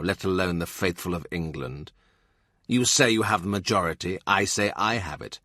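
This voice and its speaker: A man doing a soft-spoken, scornful statesman. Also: no room sound, studio quality. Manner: soft, low, amused murmur. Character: cold, ironic older male statesman, quietly scornful.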